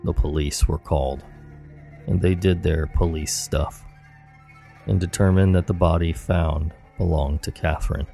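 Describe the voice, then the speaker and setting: A man narrating scary stories in a measured, low-key scripted delivery. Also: steady tone, vocal fry, warm tone, faint music behind. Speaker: horror story narrator.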